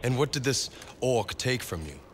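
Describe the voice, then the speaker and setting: An actor playing an earnest, suspicious human warrior, urgent and challenging, in a dramatic fantasy game. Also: male voice, medium pitch, sing-song, moderate pace, a little hiss or hum, lightly acted. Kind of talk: dramatic game cutscene acting. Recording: music behind.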